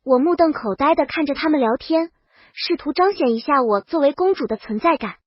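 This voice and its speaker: A woman's voice: sits high, expressive, fairly quick, dry recording, muffled recording. A woman reading a comic first-person story as a scripted audiobook narration.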